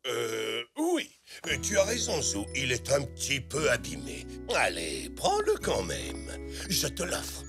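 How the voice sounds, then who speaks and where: A kindly zebra shopkeeper from a children's cartoon. He is hesitant and apologetic, and his pitch leaps up and down dramatically over a music bed. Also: wildly swooping pitch, slow, creaky, full character voice. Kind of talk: French children's cartoon dubbing.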